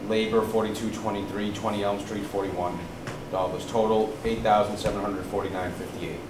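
Board member, man, monotone reading, distant from mic, hissy room. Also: fairly low pitch, normal speed. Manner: flat and businesslike. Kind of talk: reading a formal motion. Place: town hall meeting room. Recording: distant echoey room with hiss.

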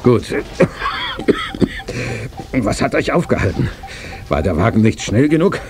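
A frail old tycoon at the end of his life, stern and determined. His radio-play delivery is solemn and commanding, with a hugely animated rise and fall. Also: fairly low pitch, a little slow. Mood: impatient, bitter. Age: older. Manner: solemn, commanding, with coughing fits. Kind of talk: German radio play acting.

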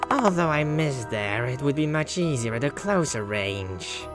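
A performer voicing a menacing raven-headed masked killer in a visual novel, speaking in a formal, coldly polite, measured way. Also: medium pitch, sing-song, over loud music. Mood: threatening, calm. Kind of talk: visual novel villain voice acting.

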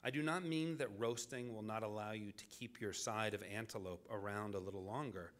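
A man delivering a scripted public lecture as the lecturer, in deliberate, measured phrases with dramatic pauses. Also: lowish voice.

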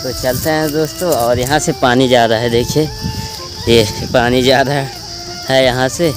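Young village vlogger with an Uttar Pradesh Hindi accent, casual and chatty, on a handheld phone mic. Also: male voice, mid-range voice, fairly even intonation, a little slow, music in the background. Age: young adult. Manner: chatty, repeatedly addresses viewers as friends. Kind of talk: casual vlog narration. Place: rural village, indoors and outdoors in fields. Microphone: phone camera at arm's length.

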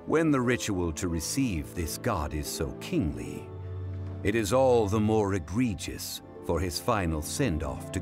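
A man playing a dignified, learned gentleman in a fantasy video game, speaking in a formal, measured and solemn way. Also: deep voice. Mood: grave, solemn. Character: dignified, learned young-looking male funeral parlor consultant. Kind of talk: video game character dialogue. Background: music.

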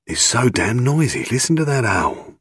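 Irritable British police inspector, acted by a male narrator, gruff, growling, husky, audiobook detective character. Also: medium pitch, big pitch swings, moderate pace, a bit bright, dry recording, studio quality, voice pushed up. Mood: complaining.

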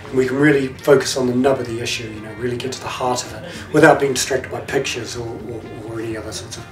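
A man's voice: medium pitch, steady tone, fast talker. British male journalist, thirties, conversational, roomy interview sound over music. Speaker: radio news journalist. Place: open newsroom lounge.

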